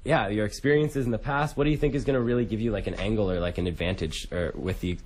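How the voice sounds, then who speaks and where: A man's voice: on the low side, fast, darkish tone. A young man co-hosting a radio show, asking rambling, informal interview questions. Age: young adult. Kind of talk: casual interview. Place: radio studio.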